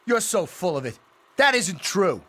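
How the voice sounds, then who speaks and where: A man's voice: high-pitched, big pitch swings, unhurried, pushed and bright, exaggerated voice. A man playing a brash, whiny teenage schoolboy in a video game, talking in a slangy, juvenile way. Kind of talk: video game dialogue acting.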